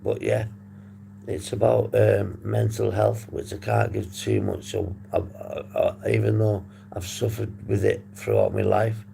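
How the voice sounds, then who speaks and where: A man hosting a casual livestream, hoarse and husky, rambling and swearing as he reads the chat. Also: lowish voice, very animated, measured pace, mellow. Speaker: livestream host. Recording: noisy background hum.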